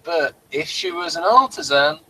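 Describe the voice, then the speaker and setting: Man, slightly high pitch, bright sharp tone, podcast host, casual explanatory chat, faint background noise. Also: animated, moderate pace, low-quality recording. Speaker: podcast host reviewing TV shows.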